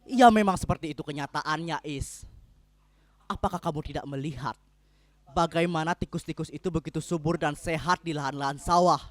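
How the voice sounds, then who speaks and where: A man performing a dramatic reading as a stubborn, pessimistic husband, declamatory and rhetorical with dramatic pauses. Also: on the high side, fairly bright tone, dry recording, close to an everyday voice. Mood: grim, argumentative.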